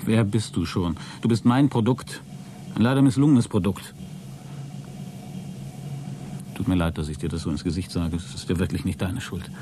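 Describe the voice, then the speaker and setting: A low male voice over clearly noisy ambience an actor playing an embittered, self-important man in a German radio drama. He words everything formally and pedantically, with a cold edge, as if dictating an official log. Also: brisk, natural voice. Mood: cold, contemptuous. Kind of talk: German radio play acting, spoken logbook monologue.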